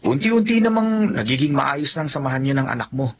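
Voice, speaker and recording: A man's voice: medium pitch, very animated, fairly quick, breathy edge. A man voicing a proud young student in a radio drama, often hesitant and self-conscious.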